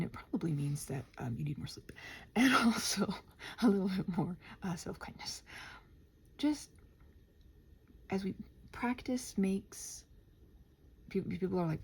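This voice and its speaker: A woman in a casual, improvised stream-of-consciousness ramble, halting and interrupting herself, often slipping toward a half-whisper, with a gentle encouraging manner. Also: fairly low pitch, gravelly, breathy edge. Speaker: host of an improvised ramble audio for body doubling.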